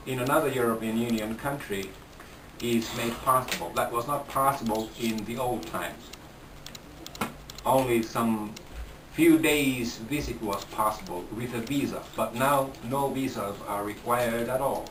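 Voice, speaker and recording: A man from Finland with a Finnish accent, talking slowly in an interview with many restarts. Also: mid-range voice, noisy background. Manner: slow, halting, with repeated restarts. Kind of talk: casual interview talk. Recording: roomy sound from a distant mic.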